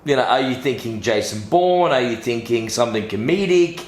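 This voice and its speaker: A man's voice: medium pitch, expressive, fairly quick. A man interviewing in a casual, matey, cheeky way, happy to be crude. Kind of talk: casual, blokey interview chat. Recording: echoey room with faint noise.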